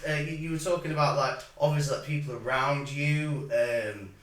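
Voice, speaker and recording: A man's voice: a little high, fairly even intonation, normal speed, a bit bright, recorded from a distance. A man hosting a podcast, asking questions in a chatty, encouraging way full of "like". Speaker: podcast host. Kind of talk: casual interview chat. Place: recording studio. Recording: echoey room, set back from mic, faint noise.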